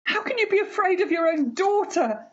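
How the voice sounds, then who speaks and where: A woman's voice: animated, moderate pace. A woman playing a distraught, obsessively hopeful older mother in a radio horror play, pleading and emotional. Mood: frantic, insistent. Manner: pleading, tearful, highly emotional. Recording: slightly dull home recording.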